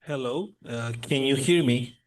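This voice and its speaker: A man's voice: medium pitch, a little slow, poor audio. A man on a video call politely asking a question, at times searching for words. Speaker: audience member asking a question.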